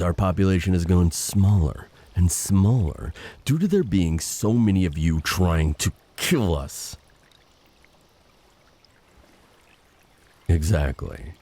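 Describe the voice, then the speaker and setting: A very low, raspy male monster acted by a man for an audio drama. He is vengeful and reckless, delivering formal threats that swing widely in pitch. His menace is bitter and deliberate. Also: mellow, heavily acted. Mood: cold menace. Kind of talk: dramatic audio roleplay acting.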